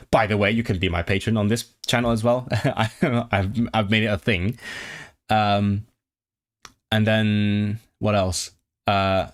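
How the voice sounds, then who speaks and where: A young man streaming live, chatting casually with viewers about his tutoring work, stopping and restarting as he thinks aloud. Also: lowish voice. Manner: hesitant, many restarts and fillers, thinking aloud.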